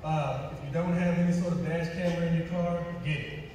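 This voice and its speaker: A man's voice: a little high, fairly even intonation, fairly quick, a little mellow. Very echoey, distant male panelist. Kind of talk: panel talk with practical advice. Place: on stage in a large auditorium. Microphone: recorded from the audience seats, far from stage. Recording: distant, echoey theater hall with noise.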